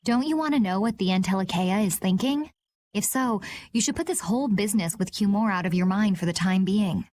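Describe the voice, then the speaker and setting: Actress as cool woman adventurer, medium pitch, composed, frank, video game cutscene acting. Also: a little fast, a little mellow, natural voice.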